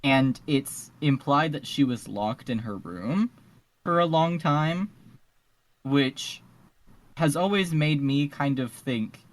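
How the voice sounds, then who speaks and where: A woman talks at a very slow pace in a casual podcast discussion. She offers her views tentatively, qualifying them as she goes. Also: low-pitched, low-quality recording. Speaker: podcast panelist.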